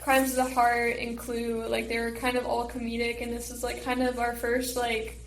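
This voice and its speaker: A woman's voice: a little high, monotone, moderate pace. A teenage girl chatting casually as a theater student guest in an interview, saying "like" very often. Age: teens. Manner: filler-heavy, frequent "like". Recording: echoey room with faint noise.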